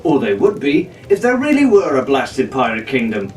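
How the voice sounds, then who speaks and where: A man's voice: medium pitch, huge pitch swings, moderate pace, a little mellow. A man voicing a cocky, sarcastic teenage pirate boy in a storybook reading, brash in manner. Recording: roomy, distant mic with faint noise.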